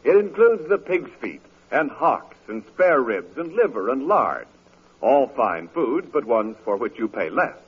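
A man's voice: medium pitch, big pitch swings, measured pace, breathy edge. A male announcer reading a scripted sponsor message in 1940s radio style. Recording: muffled vintage radio recording.

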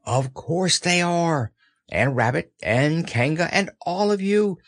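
Man as a confident, lively storybook boy. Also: fairly high pitch, slow, no echo, clean studio sound. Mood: confident, patient. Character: confident, kindly young boy leading his animal friends.

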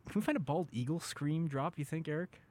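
A man's voice: a little high, a little fast, a little mellow. A man with an American accent hosting a casual sports radio talk show, chatting and steering the conversation.

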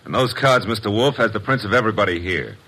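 An actor playing a streetwise, skeptical detective's assistant in a classic radio drama, slangy and sardonic with a husky voice. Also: male voice, fairly low pitch, animated, fast, sharp tone. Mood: matter-of-fact, puzzled.

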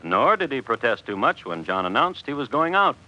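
A man's voice: medium pitch, big pitch swings, brisk, sharp tone. A man playing a wry, genteel storyteller, narrating an old radio drama in droll, literary phrasing. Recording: dull vintage radio recording.

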